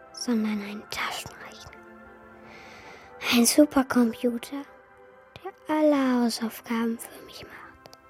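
A woman voicing a naive, eager wooden puppet boy in a children's radio play, with childlike, earnest excitement. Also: a little high.

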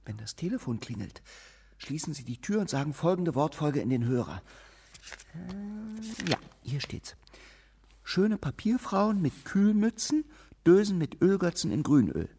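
An actor playing a tiny, fussy and bossy little gentleman in a children's radio play, giving precise, slightly pompous instructions. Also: on the high side, very animated, unhurried, vocal fry, slightly rough, exaggerated voice. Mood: fussy, instructing.

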